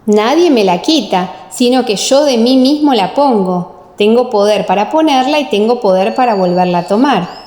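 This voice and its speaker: A woman narrating a dramatized scripture audiobook in a solemn, measured, carefully enunciated way, with heavy reverberation. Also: a little high, lively intonation, a little mellow. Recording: echoing, long reverb.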